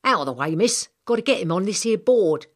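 Woman putting on a loud, rough working man. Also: mid-range voice, expressive, a little fast, pitched above the performer's own voice, lightly acted. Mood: brusque, impatient. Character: rough working men of a street, brusque and loud.

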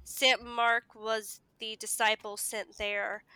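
A woman's voice: fairly high pitch, little pitch movement, slow, pushed and bright, clear, resonant tone, dry recording, poor audio. A woman from the United States, speaking as a podcast guest and explaining things in a casual, conversational way.

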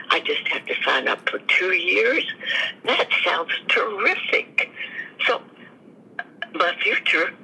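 A woman in her nineties, a veteran guest, slowly recalling her life in an interview with many small restarts. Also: lowish voice, creaky, pushed and bright, slightly rough, low-quality recording. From United States. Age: 90s. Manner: slow, thoughtful storytelling with restarts. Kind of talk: reminiscing interview answers.

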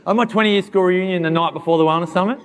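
Hoarse, fast-talking man on stage. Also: high-pitched. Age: 30s. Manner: fast, animated, addresses the audience directly. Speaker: keynote speaker. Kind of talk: motivational talk on stage.

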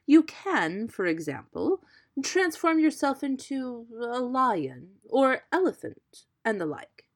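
Woman voicing a clever, courtly storybook cat, pitched high. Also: sing-song, slow, heavily acted. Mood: flattering, coaxing. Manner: courtly, emphatic, persuasive. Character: clever smooth-talking male cat, polite and flattering.

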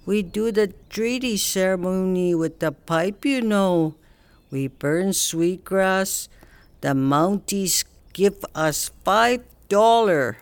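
An actor playing an older Northern Cree man, a patient elder who teaches in a slow, gentle way. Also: high-pitched, clear, resonant tone, no room sound. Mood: explaining. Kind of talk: audio drama acting.

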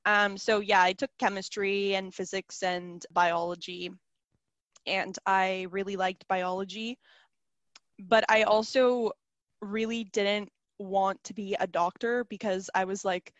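A woman's voice: medium pitch, no echo, lo-fi sound. Young woman, chatty, filler-heavy, from South Africa, first language Serbian, dry close mic. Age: young adult. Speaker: guest. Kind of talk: casual interview conversation.

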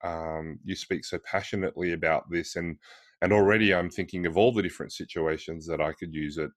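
A man interviewing a guest in a conversational way, encouraging, with hesitant, pausing phrasing. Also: deep voice, steady tone, dry recording.

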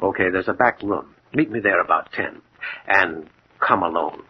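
An actor playing a cold, self-assured gangster boss with blunt, matter-of-fact menace in a 1940s radio drama. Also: male voice, deep voice, normal speed, lightly acted. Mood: businesslike. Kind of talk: 1940s radio crime acting. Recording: muffled, noisy 1940s radio recording.

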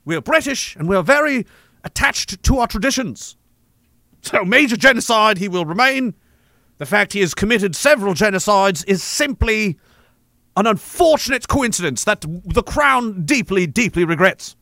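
A man's voice: sits high, lively intonation, moderate pace, bright, forceful tone, dry recording, exaggerated voice. A man voicing a smug, cruel British imperial officer with a plummy, haughty, formal manner. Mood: smug, regretful.

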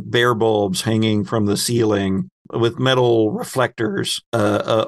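Slow, gravelly man narrating a game. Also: on the low side. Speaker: host and game master. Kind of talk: narrating a tabletop horror game.

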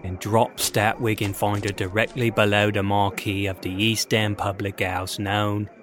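A man's voice: on the low side, level tone, unhurried. A man playing a wry, florid narrator of a Victorian mystery audio drama, in a theatrical, wordy manner. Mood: dramatic, wry. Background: loud music.

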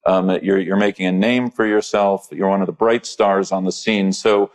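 A man, a rabbi, hosting a podcast interview, speaking in a halting way with many pauses, fillers and restarts. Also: sits low, fairly even intonation, a little mellow.

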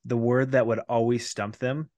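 A man's voice: mid-range voice, little pitch movement, moderate pace, a little mellow, no echo. A man hosting a podcast in a loose, playful, teasing way. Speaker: podcast host. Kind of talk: casual podcast chat.

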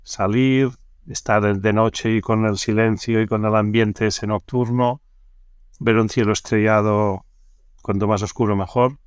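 A man's voice: fairly low pitch, steady tone, measured pace, smooth, no echo, studio quality. A man from Barcelona with a Catalan accent, chatting casually as an interview guest, often ending phrases with checking tags. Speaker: podcast guest.

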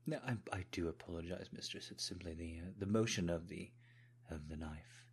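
A man's voice: sits low, a little fast, slight background noise. A man voicing a devoted, obsequious manservant with a put-on British accent, speaking in a deferential, politely formal way in an intimate audio roleplay. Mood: flustered, apologetic.

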